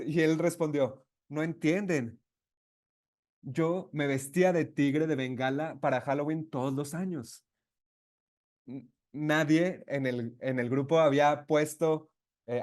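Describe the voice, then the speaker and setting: A man interpreting a webinar conversation live, speaking in halting phrases with false starts and self-corrections. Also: on the high side, darkish tone.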